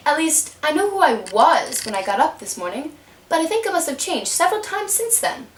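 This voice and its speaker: A fretful young girl played by a woman chatters her worries aloud in a very high, animated voice. She is polite and unsure, a puppet-show heroine picked up from a little distance. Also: brisk, slight background noise. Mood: timid, confused. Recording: roomy, distant home microphone.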